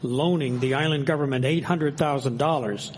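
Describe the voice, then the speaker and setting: A man playing an earnest, politically minded gentleman of the 1870s in a radio drama, formal and argumentative. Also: mid-range voice, moderate pace, natural voice. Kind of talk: scripted period radio drama. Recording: echoey hall, clear background noise.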